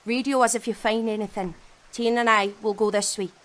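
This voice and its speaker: An actress playing a bossy, determined survivor leader with a brisk, commanding delivery in an audio drama. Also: female voice, fairly high pitch, steady tone, close to an everyday voice. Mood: firm, scolding. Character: bossy, determined young woman leading apocalypse survivors.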